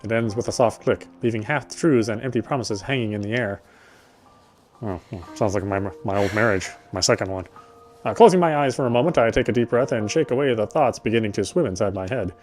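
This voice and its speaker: A man's voice: fairly low pitch, brisk, darkish tone, faint music behind. A man gaming and reading a visual novel's narration aloud, with casual asides. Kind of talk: let's play read-aloud with casual asides.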